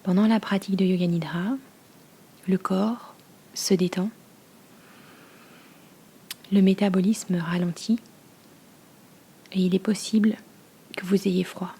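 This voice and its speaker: A woman leads a guided meditation as a yoga nidra teacher, speaking slowly in a hushed, soothing manner with long pauses and a soft, breathy voice. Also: medium pitch, steady tone.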